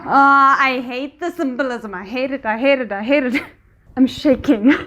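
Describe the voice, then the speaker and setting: A woman's voice: high voice, normal speed, dull audio, noisy background. A woman giving casual, emotionally invested reaction commentary as she watches a drama, exclaiming and thinking aloud. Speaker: reaction video host.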